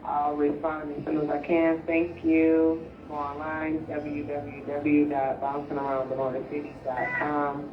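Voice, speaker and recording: A woman recording a brisk, friendly voicemail greeting, heard through a phone. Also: low voice, monotone, far from the mic, noisy background. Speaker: parent called on the phone. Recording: dull phone audio through a speaker, echoey.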